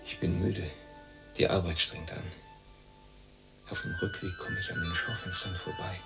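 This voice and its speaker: Deep, creaky, brooding weary man. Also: steady tone, normal speed, music in the background, poor audio. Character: weary, yearning East German emigrant man, brooding. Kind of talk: radio drama monologue and dialogue.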